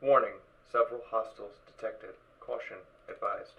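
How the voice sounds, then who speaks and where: Man as a creaky, deadpan suit computer. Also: on the low side, moderate pace, low-quality recording. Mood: flat, matter-of-fact. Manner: clipped, formal, deadpan. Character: emotionless literal suit computer giving diagnoses and warnings. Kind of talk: fan audio drama acting.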